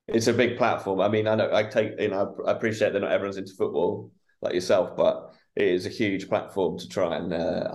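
Low-voiced man rambling through questions. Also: a little mellow. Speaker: podcast host. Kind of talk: casual interview.

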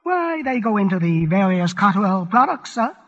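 High-voiced, timid chemist. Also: male voice, very animated, normal speed, exaggerated voice. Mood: deferential, confiding. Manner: meek, formal, hesitant, deferential. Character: timid, deferential older English laboratory chemist, a gentle bachelor. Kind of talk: 1940s radio drama acting. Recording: muffled vintage radio broadcast, loud music.

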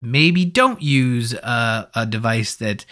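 A man's voice: mid-range voice, animated, takes it slowly, sharp tone. A man hosting a podcast, discussing films in a casual, conversational and hedging way. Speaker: podcast host.

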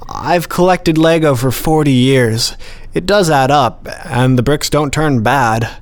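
An elderly gentleman collector , played by a man, speaks in a medium-pitched voice. He is courteous and contented, with an unhurried, matter-of-fact way of explaining things, as in a radio play. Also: close to an everyday voice. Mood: amiable, quietly proud.

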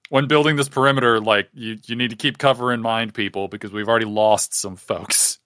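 A man playing a tabletop roleplaying game, talking casually and wryly as he thinks his plans out loud. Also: medium pitch, fairly even intonation, fairly quick, no echo, clean studio sound. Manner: wry, talky, thinks aloud.

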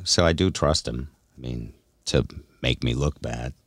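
A man's voice: very deep voice, measured pace, no room sound, clean studio sound. A middle-aged or older man hosting a casual comedic podcast, telling stories in a teasing, self-deprecating way. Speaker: radio show host.

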